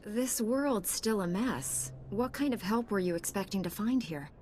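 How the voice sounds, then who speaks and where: An actress playing a composed, principled Jedi knight in a video game, speaking directly. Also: female voice, medium pitch, animated, measured pace, darkish tone, slight background noise, natural voice. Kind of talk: video game character acting.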